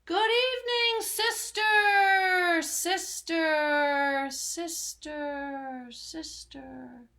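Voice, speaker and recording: A woman's voice: very high-pitched, fairly even intonation, drawn-out words, bright, forceful tone, pitched above the performer's own voice, full character voice. A woman voicing a plucky, sly young female duck from a storybook, speaking in a polite, coaxing manner. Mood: calling out.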